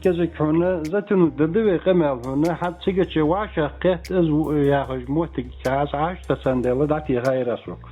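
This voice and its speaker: Guy w on a phone line, kinda high voice, questioning and arguing, music in back. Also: normal speed, hardly any pauses, breathy edge. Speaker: phone caller questioning the other man. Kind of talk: informal phone argument. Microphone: telephone.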